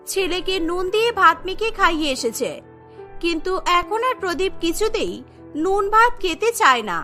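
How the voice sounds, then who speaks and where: Female narrator for cartoon storytelling, scripted read, very high pitch, bright tone.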